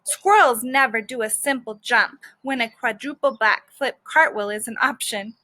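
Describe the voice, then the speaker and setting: High, bright-voiced woman teacher reading aloud. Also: normal speed, no echo. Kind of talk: children's read-aloud with asides to young listeners.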